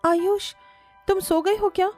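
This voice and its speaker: A woman's voice: extremely high pitch, steady tone, unhurried, darkish tone, faint music behind, voice pushed up. A woman voicing a spoiled rich young bride, dramatic and exasperated, who drops English exclamations into her complaints, in a storybook cartoon. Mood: self-pitying.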